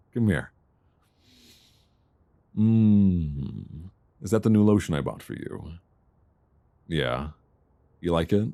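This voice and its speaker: A man playing an affectionate, bookish boyfriend in an intimate ASMR roleplay, speaking softly, tenderly and soothingly close to a binaural microphone. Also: low voice, heavily acted. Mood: affectionate, playful. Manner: soft, tender, unhurried, soothing.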